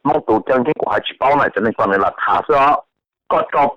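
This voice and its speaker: A man's voice: rapid-fire, no room sound. A man talking casually as a participant on a conference call. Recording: dull lo-fi telephone line.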